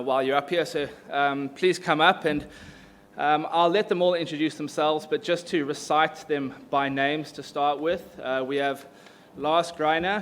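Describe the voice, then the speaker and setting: A man from South Africa moderates a panel from a conference stage, speaking into a stage mic. His voice sits a little high, and he talks hesitantly, stopping and restarting often. Faint room noise hangs behind him. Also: a bit bright. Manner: hesitant, full of fillers and restarts.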